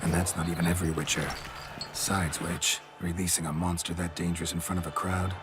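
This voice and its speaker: An actor playing a gruff, world-weary monster hunter in a video game, terse, blunt and deadpan. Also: male voice, low voice, brisk, lightly acted. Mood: stoic, wary. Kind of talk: video game dialogue acting. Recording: loud music behind the voice. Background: loud game music.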